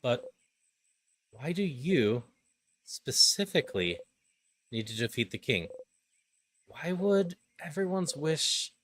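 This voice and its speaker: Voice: medium pitch, fairly bright tone. An intense, despairing game hero acted out by a voice-over performer spirals through a bitter inner monologue. The delivery halts and repeats obsessively, with noise behind it. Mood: brooding. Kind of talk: dramatic game script voice-over.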